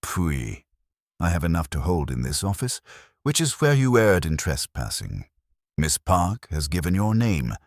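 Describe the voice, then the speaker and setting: A man acts out an imperious, heavyset genius detective in a mystery audiobook. The voice is extremely low and husky, pronouncing formal judgments with pompous precision and swinging emphasis. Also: sing-song, a little slow, no room sound, studio quality, heavily acted. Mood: stern, exacting.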